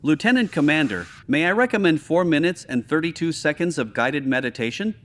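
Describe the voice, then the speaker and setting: Actor as a calm, polite ship's computer. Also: medium pitch, a little fast, close to an everyday voice. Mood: calm, soothing. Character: calm, polite station computer offering meditation. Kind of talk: sci-fi audio drama acting.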